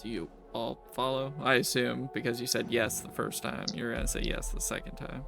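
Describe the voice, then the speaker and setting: Man, game master, creaky voice, casual tabletop narration, music underneath. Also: medium pitch, fairly even intonation, brisk. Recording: music behind the voice. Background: background music.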